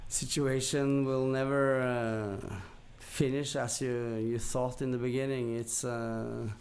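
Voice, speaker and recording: A man from Norway with a Norwegian accent, telling a story casually and haltingly as a podcast guest. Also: medium pitch, level tone, darkish tone, a little hiss or hum. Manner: halting, hesitant delivery with long pauses.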